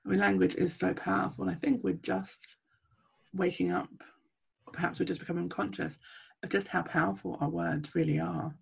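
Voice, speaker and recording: Gravelly, breathy woman host. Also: fairly low pitch, flat delivery, moderate pace. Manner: curious, asks short follow-up questions. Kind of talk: interview conversation.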